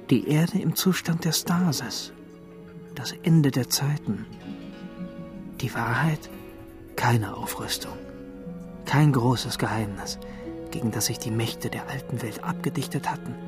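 A man playing an awed, probing explorer in a radio play, with a halting, questioning, intense delivery. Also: medium pitch, music in the background, close to an everyday voice. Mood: intense realization. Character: male explorer, awed and probing, piecing mysteries together. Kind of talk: radio play acting, dramatic dialogue.

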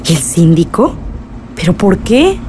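A woman's voice: lowish voice, big pitch swings, takes it slowly, dark tone, a little hiss or hum. A woman playing an anxious, determined village mother in a radio drama, with a Mexican accent and an urgent, questioning way of talking. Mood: indignant.

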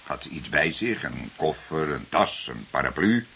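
Deep-voiced, animated police detective. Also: male voice, creaky, full character voice. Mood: patient, questioning. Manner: deliberate, hesitant, musing questioning. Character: calm, patient senior male police detective, gently probing. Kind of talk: naturalistic crime drama acting. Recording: hissy recording, faint noise.

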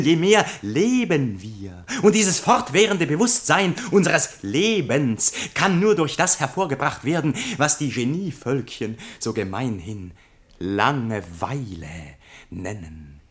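An actor playing a pompous, long-winded chairman of a literary society in a German radio play, speaking in a deliberate, oratorical way. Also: a little high, fairly bright tone, full character voice. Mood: self-important. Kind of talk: German radio play acting, mock-solemn speech.

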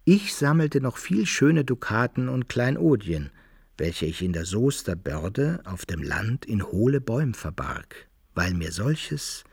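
A man's voice: mid-range voice, very animated, unhurried, creaky, husky, dry recording, clean studio sound, full character voice. An actor narrating a radio play in the first person as a worldly adventurer recounting his exploits with wry storytelling.

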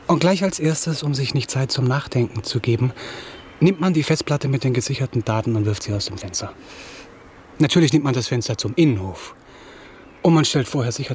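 A man's voice: mid-range voice, a little mellow, slight background noise, lightly acted. A man acting a distraught, self-pitying young writer in a German radio drama, rambling and repeating words as he stammers. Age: young adult.

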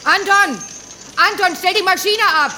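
An actress in a German radio drama playing a blunt, touchy, indignant factory worker. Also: female voice, extremely high pitch, measured pace, sharp tone, a little hiss or hum, full character voice. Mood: shouting, irritated. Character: feisty, indignant female East German factory machine worker.

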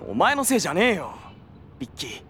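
An actor playing a hot-headed, loyal young police detective in a Japanese audio drama, brash and rough-spoken. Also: male voice, on the high side, wildly swooping pitch, quick pace, a bit bright, a little hiss or hum. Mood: gentle, regretful.

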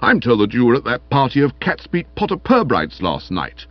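A man's voice: fairly high pitch, huge pitch swings, moderate pace, dry recording, lo-fi sound, voice pushed up. A man voicing a stern, peevish young constable in a comic audiobook, laying down the law in accusing pronouncements. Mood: bitter, morose. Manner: hectoring, morose, clipped pronouncements.

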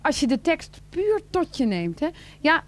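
A woman acting a sharp-tongued, mocking woman in a satirical radio play, with a sarcastic, bossy, theatrical delivery. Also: high-pitched, big pitch swings, measured pace. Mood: dramatically moved.

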